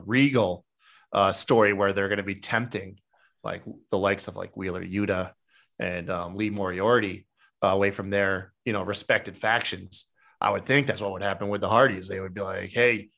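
A man's voice: fairly low pitch, bright, forceful tone. A man on a podcast rambling casually with lots of fillers. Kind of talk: casual wrestling chat.

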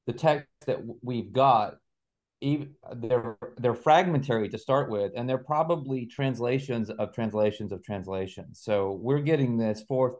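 A man with a fairly low voice answers as an interview guest. He explains things deliberately and in measured phrases, speaking over a video call. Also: darkish tone. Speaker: podcast guest, author and researcher. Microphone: remote video call.

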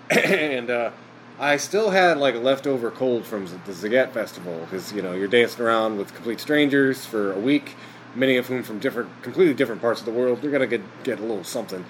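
A man chatting as a podcast guest, rambling with lots of fillers and quick laughs. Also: medium pitch, animated, slight background noise, rough recording. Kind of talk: casual chat.

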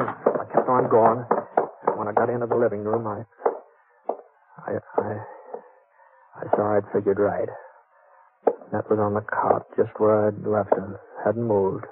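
An actor playing a calm, reasonable drifter gunslinger in a 1950s radio western, speaking in a hesitant, folksy, stammering drawl. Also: male voice, fairly low pitch, normal speed, pauses often, a little hiss or hum, lightly acted. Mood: tense, hushed narration. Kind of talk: 1950s radio western acting and first-person narration. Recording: muffled, band-limited 1950s radio recording.

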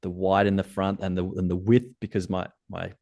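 Low-voiced Australian man talking ski gear. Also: brisk, gravelly. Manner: relaxed, thoughtful, technical about gear. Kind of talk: casual interview chat.

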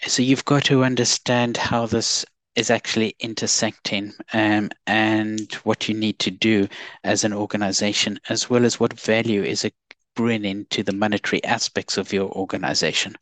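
South African male guest expert, measured and methodical, low monotone, dry close mic. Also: no room sound. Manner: measured, restarts words, lists points. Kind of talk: podcast interview.

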